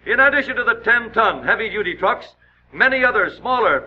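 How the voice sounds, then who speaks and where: A high-voiced American man narrates in dramatic 1930s newsreel style. His delivery is emphatic and declamatory, like a period announcer. The soundtrack is muffled and noisy, as on old film.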